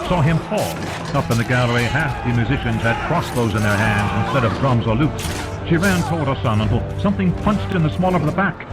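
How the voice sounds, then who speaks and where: A man narrating an audiobook as dramatic scripted storytelling. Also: medium pitch. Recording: loud music behind narration. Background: loud music.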